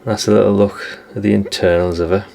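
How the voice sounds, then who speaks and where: Low-pitched, creaky British man, chatting casually. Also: level tone, mellow, slight background noise. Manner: musing, unhurried, frequent tag questions and self-corrections. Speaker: radio hobbyist presenting a video. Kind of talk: casual rambling vlog chat.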